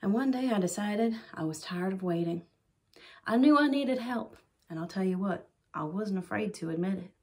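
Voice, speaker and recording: A young woman playing a dreamy, determined client giving a soft, confiding testimonial in a small room. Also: medium pitch, animated, moderate pace, close to an everyday voice. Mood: wistful. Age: young adult. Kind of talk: soft ASMR mock testimonial. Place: small bedroom indoors.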